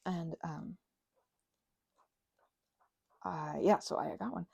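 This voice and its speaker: A woman rambling casually and unscripted while crafting, halting and wandering off on tangents, with excitable, gushing exclamations. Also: lowish voice, vocal fry, a little hiss or hum. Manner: halting, digressive, with gasps and exclamations. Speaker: craft and ASMR channel creator.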